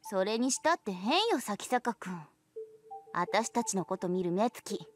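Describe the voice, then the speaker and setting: A woman playing a sharp-tongued, exasperated young woman in a Japanese visual novel, blunt and complaining. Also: mid-range voice, lively intonation, fast talker, a little hiss or hum, natural voice.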